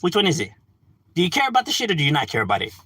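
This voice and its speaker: A man's voice: fairly high pitch, racing, husky, no room sound. A man hosting a livestream, arguing casually and animatedly, calling listeners "bro" and laughing between points. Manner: animated, argumentative, addresses chat as "bro"; frequent laughs and filler "you know". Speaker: livestream host.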